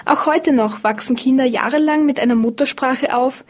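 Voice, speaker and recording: A woman with a slightly high, husky voice presents a scripted radio feature. She reads in a measured, informative way, explaining each point steadily.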